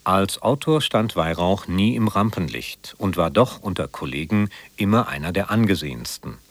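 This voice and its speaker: A man reading a scripted biographical introduction as a radio presenter, in a measured, formal manner. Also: low-pitched, animated, no room sound.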